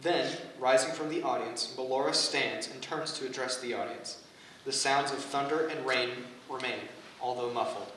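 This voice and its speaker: A man's voice: mid-range voice, normal speed, a bit bright. A man reading stage directions as scripted narration at a staged play reading. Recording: echoey room, far from mic, faint noise.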